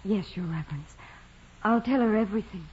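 A woman's voice: mid-range voice, a little fast, a little hiss or hum, close to an everyday voice. An actress playing a humble, devout young peasant girl in an old radio drama, earnest, simple and respectful. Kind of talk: 1940s radio drama acting. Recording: dull old radio recording.

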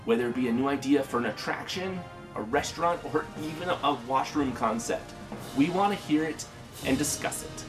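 Canadian guy hosting a podcast, normal mid pitch, bit of music behind him. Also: a little fast. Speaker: podcast host. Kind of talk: scripted intro and podcast interview.

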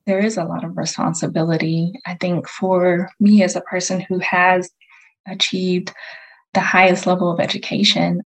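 A woman's voice: fairly low pitch, flat delivery, normal speed, low-quality recording. A woman in her thirties speaking as an interviewee in a matter-of-fact way. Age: 30s.

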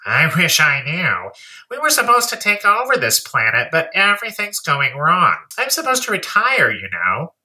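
An exasperated alien commander, played by a man, in an audio drama. His pitch lurches widely up and down as he grumbles, blunt and humorless, like a bureaucrat tired of his job. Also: wildly swooping pitch, moderate pace, pushed and bright, husky, no room sound, full character voice, low-quality recording. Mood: glum, befuddled. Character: weary, grumbling alien fleet commander eager to retire. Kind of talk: audio play acting.

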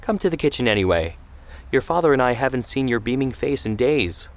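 A man voicing a steely, worried mother in an audiobook story, measured and firm with a biting edge. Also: medium pitch, lively intonation, pitched above the performer's own voice, lightly acted. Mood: coaxing.

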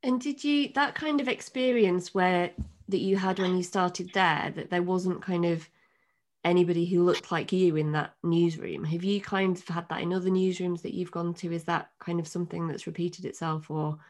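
A woman's voice: lowish voice, fairly even intonation, moderate pace. A woman from England hosting an interview, asking questions in a hesitant, filler-heavy way. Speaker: interviewer, host.

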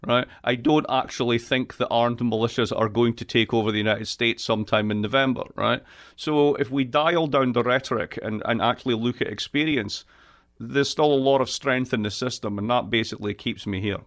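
A man with an east coast Scottish accent, a guest on an interview show, talking in a wry, joking way with quick asides. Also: medium pitch, steady tone, fairly bright tone. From Scotland. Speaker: guest, economist. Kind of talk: lively podcast interview.

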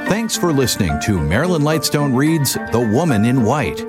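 A man's voice: mid-range voice, sing-song, moderate pace. A man reading scripted podcast credits as an announcer. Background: music behind the voice.

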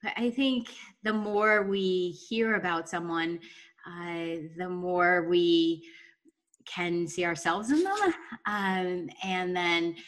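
A woman's voice: fairly low pitch, slow. Chatty woman hosting a podcast. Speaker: podcast host. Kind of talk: conversational podcast introduction and interview.

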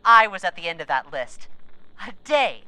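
An embattled officer on a moon base for a sci-fi audio drama. Her voice is sharp and forward, bitter and sarcastic, combative in manner, recorded dry and close. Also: lowish voice, very animated, moderate pace, no echo, poor audio.

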